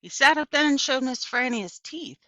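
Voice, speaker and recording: Expressive, animated woman reading a storybook. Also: sits high, normal speed, no room sound, highs cut off. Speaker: audiobook reader.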